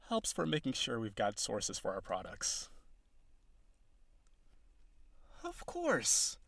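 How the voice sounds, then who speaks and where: Man playing a smug, animated flirty villain. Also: mid-range voice, dry recording. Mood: exasperated, smug. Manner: conversational, smug, sardonic, teasing. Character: cocky flirtatious male businessman villain on a date. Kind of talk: flirty audio roleplay monologue.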